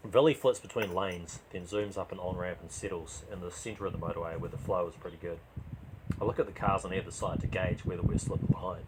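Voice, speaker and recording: A man's voice: sits low, steady tone, brisk, noisy background. A man reading a first-person crime story as a dramatised narration.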